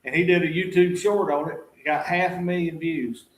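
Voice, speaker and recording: A man's voice: a little high, steady tone, moderate pace, roomy sound, poor audio. A man telling folksy, plain-spoken stories as a guest in a casual chat.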